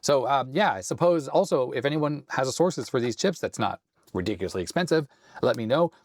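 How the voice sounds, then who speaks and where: A man hosting a hands-on tech video with a slightly hoarse, raspy voice, thinking aloud in a tentative, self-deprecating way. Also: medium pitch, lively intonation, a little fast. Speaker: host. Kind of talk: casual hands-on tech walkthrough.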